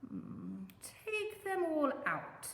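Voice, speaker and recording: Lady doing a wise old storybook man, really slow and thoughtful, swooping words, roomy echo. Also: sits high, wildly swooping pitch, gravelly, a bit bright, pitched above the performer's own voice, exaggerated voice. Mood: pondering, sage. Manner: slow drawn-out pronouncements after a pondering hum. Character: wise old man, ponderous, calm adviser. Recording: echoey room with long reverb.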